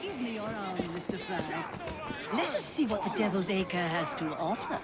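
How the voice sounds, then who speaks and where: An actress playing an imperious, curious and eccentric upper-class English Victorian politician's wife in a video game, with haughty, theatrical, airy diction. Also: female voice, medium pitch, big pitch swings, normal speed, a loud crowd, natural voice. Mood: curious, amused. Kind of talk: video game dramatic acting. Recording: music behind the voice.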